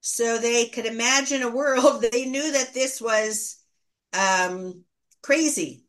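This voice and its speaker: A woman's voice: on the high side, slow, a bit bright. A woman speaking as an academic interview guest, explaining in a thoughtful, didactic, conversational way and correcting herself as she goes. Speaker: history scholar and teacher, interview guest.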